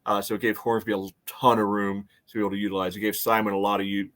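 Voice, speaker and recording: Lowish-pitched American man, halting sports chat. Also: little pitch movement, fairly bright tone. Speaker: sports podcast co-host. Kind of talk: casual soccer analysis.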